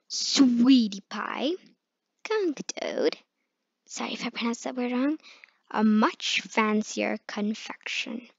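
Female picture-book reader, unhurried and a little halting, in a muffled, echoey room. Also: fairly high pitch. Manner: halting, stumbles over hard words. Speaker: read-aloud storyteller. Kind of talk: reading a children's picture book aloud.

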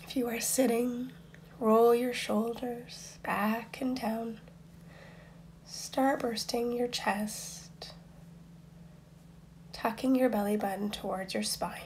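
A woman guiding a meditation in a soft, breathy, near-whispered voice, soothing and unhurried, with long pauses between instructions. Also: a little high, steady tone, a little hiss or hum, low-quality recording. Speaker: meditation guide. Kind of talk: guided meditation.

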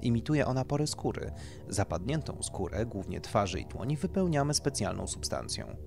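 A man's voice: mid-range voice, big pitch swings, moderate pace, gravelly, pitched above the performer's own voice. Man voicing tactful, clinical doctor over music. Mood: reassuring. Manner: polite, clinical, explanatory. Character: smooth, tactful male doctor selling grisly procedures. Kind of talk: audiobook character dialogue.

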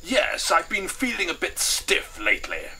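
A man's voice: on the high side, wildly swooping pitch, unhurried, pushed and bright, a little hiss or hum, pitched above the performer's own voice, full character voice. A man voicing a jolly old English colonel from a storybook, plummy and hearty with an upper-class accent. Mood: jolly, excited.

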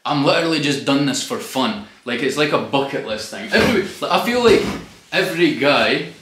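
A young Scottish man hosts a laid-back chat in his home studio, full of broad dialect slang. His voice sits in an ordinary middle pitch and carries a roomy echo. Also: lively intonation, brisk, a bit bright. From Scotland. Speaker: host. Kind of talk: casual chat.

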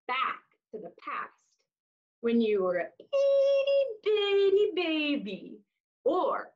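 A woman in her thirties reading a picture book aloud to young children, expressively, with exaggerated emphasis and drawn-out words. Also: high voice, lo-fi sound. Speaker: children's storytime reader. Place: small room indoors, seated on floor.

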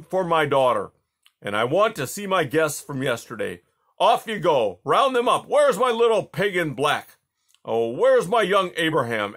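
A man voicing a jolly, domineering Russian tsar who talks loudly and teases. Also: on the high side, pitched above the performer's own voice, full character voice. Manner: loud, roaring, teasing.

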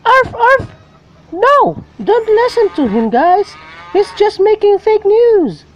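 A woman voices an excitable puppet-show dog, loyal and yappy. The pitch is extremely high and swoops around, in a dull lo-fi recording. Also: takes it slowly, a little hiss or hum, full character voice. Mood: indignant.

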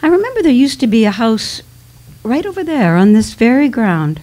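A woman's voice: a little high, sing-song, normal speed, warm tone. A woman playing a vain, blunt, ailing mother, theatrical and dismissive, in a staged dramatic reading. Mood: wry. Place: small dimly lit stage venue. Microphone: microphone on a stand.

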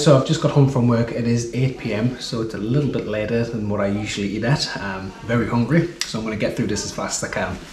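An English man in his thirties, talking at an ordinary middle pitch in a chatty, upbeat vlog style. He is recorded indoors in a small kitchen, picked up by the camera from a short distance. The room gives the voice a noticeable echo. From England. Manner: chatty and upbeat, explains as he goes. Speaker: running vlogger. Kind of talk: casual food vlog talk to camera. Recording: echoey kitchen room sound.

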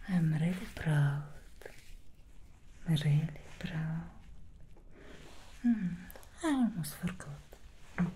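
A woman voicing an alluring, scheming female demon hairstylist in an ASMR roleplay, speaking in a slow seductive whisper. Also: low voice, dark tone, breathy edge, heavily acted.

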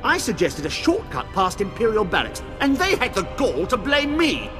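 An actor playing a pompous, cowardly, whining Imperial official in a video game, haughty and fussy. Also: male voice, high voice, wildly swooping pitch, moderate pace. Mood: petulant. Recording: long hall reverb, music behind.

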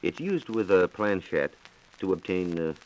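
An American man explaining science in a measured, formal way on a 1940s radio program. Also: low-pitched, big pitch swings, a little mellow, rough recording. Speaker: science reporter and radio co-host. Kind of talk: 1940s radio science talk, answering questions. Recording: 1940s radio transcription disc.

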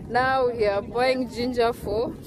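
Chatty high-voiced Kenyan woman vlogging. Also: fairly even intonation, slow, a crowd in the background. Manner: chatty, laughs often, talks straight to viewers. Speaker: travel vlogger. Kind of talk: casual travel vlog narration. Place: outdoors by a roadside market. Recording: loud outdoor noise.

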